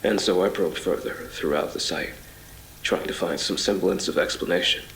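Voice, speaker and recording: Man acting a haunted, very deep-voiced narrator. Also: moderate pace, fairly bright tone, slight background noise. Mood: brooding, uneasy. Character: haunted man recounting a surreal ordeal. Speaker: voice actor. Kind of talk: scripted horror audio drama narration. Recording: reverberant voice over music.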